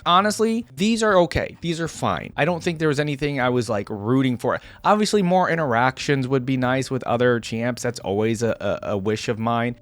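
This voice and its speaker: A man giving casual, informal commentary as the host of an online game reaction video. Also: fairly high pitch.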